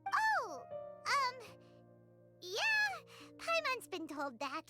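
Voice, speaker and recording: A woman voicing a small childlike fairy companion in a video game, squeaky, chirpy and blunt, speaking of herself in the third person. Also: huge pitch swings, drawn-out words, heavily acted. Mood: curious, chirpy. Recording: music playing behind.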